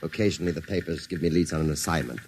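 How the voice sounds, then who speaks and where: An actor playing a suave, inquisitive science writer in a radio drama, with formal, unctuous, deliberate speech. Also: male voice, a bass voice, steady tone, creaky, a little mellow. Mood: smooth, probing. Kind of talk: old radio drama acting. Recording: hissy old radio drama recording.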